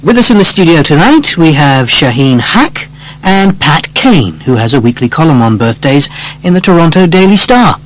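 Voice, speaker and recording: Animated male presenter, fairly high voice. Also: normal speed, poor audio. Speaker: announcer and radio-style presenter. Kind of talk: scripted lesson announcing and acted radio hosting.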